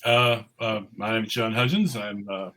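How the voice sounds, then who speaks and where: A man introducing himself casually, in a halting, modest way. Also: lowish voice, sharp tone. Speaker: filmmaker, table-read performer.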